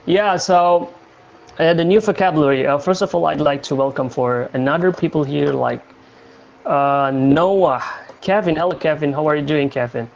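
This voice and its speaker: A young man from Indonesia hosting a casual livestream chat, talking in a halting way with many fillers and restarts. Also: on the high side, gravelly, darkish tone, dull audio. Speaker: livestream host.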